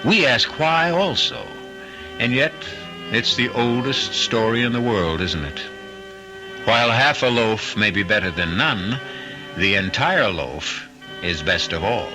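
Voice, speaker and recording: A man hosting an old-time radio drama, delivering scripted narration in a theatrical, deliberate, philosophical manner. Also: fairly low pitch, expressive, poor audio. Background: music.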